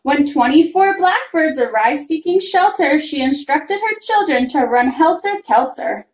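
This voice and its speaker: High, lilting woman reading a children's story. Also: a little slow. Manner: expressive, singsong rhyming delivery. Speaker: reader of a children's picture book. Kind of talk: read-aloud children's storytelling. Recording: roomy, echoing room sound.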